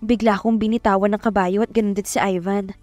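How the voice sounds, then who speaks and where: A woman's voice: mid-range voice, fairly even intonation, fast talker, darkish tone, no echo, close to an everyday voice. An actress playing a timid, tender young Filipina telling her love story in a romantic audio drama, speaking with a Filipino accent. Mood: tender awe. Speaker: narrator and lead actress. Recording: music bed behind voice.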